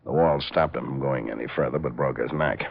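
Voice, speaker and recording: A sober American cop with an extremely deep, creaky voice. He speaks tersely and plainly, in old radio drama style, on a muffled recording. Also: fairly quick, slight background noise. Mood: grim, matter-of-fact. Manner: terse, matter-of-fact. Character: sober hard-working male police officer. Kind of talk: 1940s radio drama acting.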